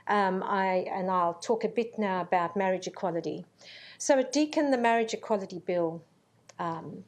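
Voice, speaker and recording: A middle-aged woman born in Zambia giving a formal conference welcome speech, measured and earnest, with frequent ums. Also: on the low side, a little mellow. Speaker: university vice-chancellor. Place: conference hall.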